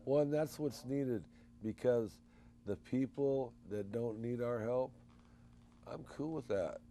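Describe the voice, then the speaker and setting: A man co-hosting a call-in show, animated and folksy, rallying listeners in a casual way. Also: mid-range voice, slow pace, a little hiss or hum. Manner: animated, folksy, preachy promoting. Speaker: co-host.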